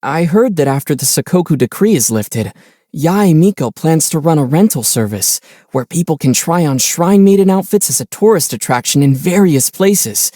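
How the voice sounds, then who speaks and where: A man voicing a calm young adventurer in a video game, easygoing and measured, with a gently wry, teasing manner. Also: on the high side, no echo, studio quality. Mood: casual. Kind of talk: video game character dialogue.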